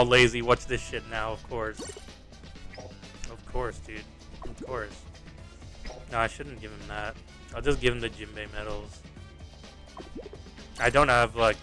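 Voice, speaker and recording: A man's voice: lowish voice, little pitch movement, measured pace, long pauses, bright, forceful tone, soft background music. A young Australian man livestreaming a mobile game, chatting casually to viewers in a rambling, exasperated way, swearing and repeating himself. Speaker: gaming streamer. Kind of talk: casual livestream chat while playing.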